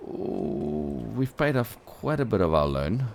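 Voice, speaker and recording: A man's voice: low voice, sing-song, slow pace, gravelly, slight background noise. A man who is not a native English speaker gives casual gameplay commentary as a let's play host, thinking aloud with playful asides.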